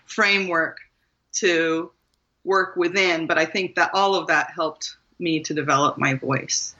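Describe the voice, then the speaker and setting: A woman's voice: low voice, steady tone, measured pace, bright, forceful tone, muffled recording. A woman from Maryland, a guest author, talking thoughtfully and earnestly about her path to writing in an interview, with small laughs and restarts. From Maryland, USA. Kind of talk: reflective interview conversation.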